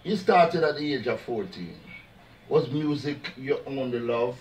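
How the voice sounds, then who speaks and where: A man hosts a loose interview, calling out to "ladies and gentlemen" and dropping patois phrases as he goes. His voice sits at a middle pitch and comes from a distance, with a faint bed of ambience behind it. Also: measured pace, slight background noise. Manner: lively, uses patois phrases like "big up". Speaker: interview host. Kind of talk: casual interview, announcing to audience.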